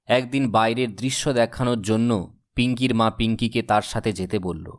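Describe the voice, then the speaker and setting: A man's voice: on the low side, steady tone, normal speed. A man reading scripted storybook narration as the narrator of a cartoon tale.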